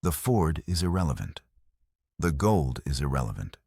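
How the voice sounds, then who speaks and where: Stern male military commander, acted by a male narrator, very low pitch, husky, terse, analytical, storybook telling. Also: big pitch swings, moderate pace, warm tone, no echo, clean studio sound, heavily acted. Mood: firm, commanding.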